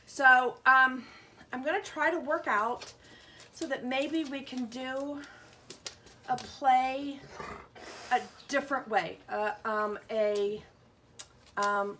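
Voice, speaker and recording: A woman with a bright, slightly high voice reads to young children at an unhurried pace, as a teacher would. She adds simple asides and questions for them. There is clear background noise in the recording. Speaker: schoolteacher reading aloud to pupils. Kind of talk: picture-book read-aloud with asides to children.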